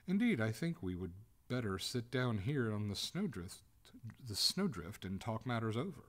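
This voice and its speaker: Man playing a suave young gentleman, animated pitch. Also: moderate pace. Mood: courteous. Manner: formal, suavely polite, firm. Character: courteous, coolly merciless young gentleman, master of the house.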